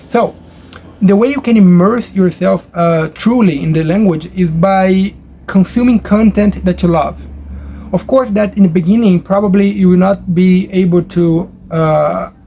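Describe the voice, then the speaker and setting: A man whose first language is Portuguese, talking casually to camera from his bedroom in a rambling way with many fillers and restarts. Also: dark tone. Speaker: language-learning YouTuber. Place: his bedroom at home, near a highway. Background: traffic noise from a nearby highway.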